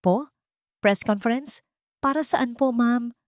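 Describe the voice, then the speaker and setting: A woman's voice: fairly high pitch, takes it slowly, dry recording, clean studio sound, pitched above the performer's own voice. A woman voicing a nervous young female secretary who asks polite, anxious questions.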